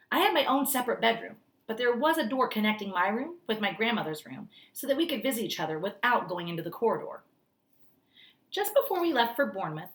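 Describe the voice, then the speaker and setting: A woman narrating a children's story aloud as a teacher, in an expressive read-aloud style. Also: fairly high pitch.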